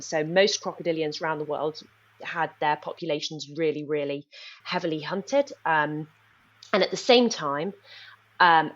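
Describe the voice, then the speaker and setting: Young woman researcher from Nepal, deep voice, slightly muffled audio. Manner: thoughtful, many ums, talks fast in bursts. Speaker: researcher, guest. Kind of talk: podcast interview.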